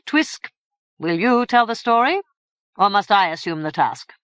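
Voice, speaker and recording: A stately fairy king who makes formal pronouncements in a slow, measured way, put on by a woman reader. The voice rises and falls in wide swings, and the recording is close and dry. It sounds like a storybook read aloud. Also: medium pitch, lively intonation, fairly bright tone, clear, resonant tone, no echo, voice pushed up. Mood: grave. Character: stately old fairy king, grave and sententious.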